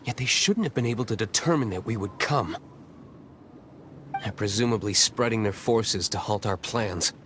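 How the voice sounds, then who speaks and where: A man voicing a composed, serious, scholarly young nobleman in a video game, speaking in a measured, formal, reserved way. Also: on the low side, a bit bright, a little hiss or hum, close to an everyday voice. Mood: grave.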